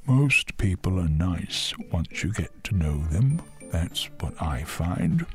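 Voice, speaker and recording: Friendly rhinoceros for a children's story, played by a man: low, unhurried, drawn-out, placid. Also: big pitch swings, faint music behind, heavily acted. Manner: slow, drawn-out, placid delivery. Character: big friendly slow-talking male rhinoceros.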